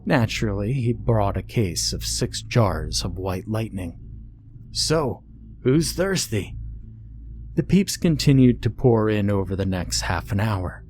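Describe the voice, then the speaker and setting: A man with a fairly low voice narrates a scripted horror story. There is a faint noise behind his reading. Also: animated, moderate pace, few pauses, darkish tone.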